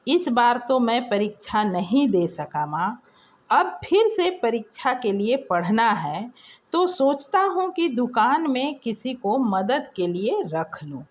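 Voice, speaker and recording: An earnest, overburdened young son from an audio story, played by a woman narrator. He pleads his case slowly, with expressive swings in pitch. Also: dull audio. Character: dutiful young son, overburdened student shopkeeper.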